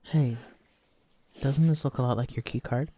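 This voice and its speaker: A wary, protective escapee with uncanny mental gifts, acted by a man in an immersive horror audio drama. He speaks straight to his companion in a medium-pitched male voice. He stays watchful and guarding. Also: normal speed, dark tone, close to an everyday voice. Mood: curious, wry. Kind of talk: immersive roleplay audio drama, addressing the listener.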